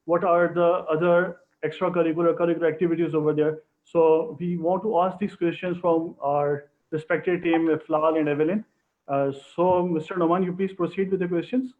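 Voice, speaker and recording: A man from Pakistan hosting a live online information session in formal, halting phrasing over a video call. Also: a little high, fairly even intonation. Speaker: host. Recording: tinny phone-like line.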